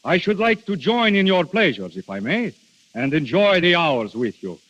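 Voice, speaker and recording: A dull, hissy 1930s radio recording with faint music carries Death in princely guise. His voice is fairly high and swoops expressively, with grand, courteous, theatrical phrasing. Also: very animated, normal speed, a bit bright. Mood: courtly, charming. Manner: theatrical, courtly, formal, savoring words. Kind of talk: 1930s radio drama acting.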